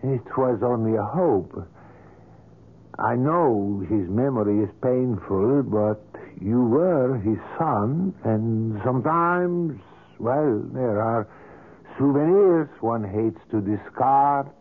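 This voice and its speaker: An actor playing a polite, wheedling sculptor, hesitant and apologetic, in a 1970s radio drama. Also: male voice, medium pitch, lively intonation, slow pace. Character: fussy, ingratiating sculptor, apologetic, wheedling, insistent. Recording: narrow, tinny old radio recording.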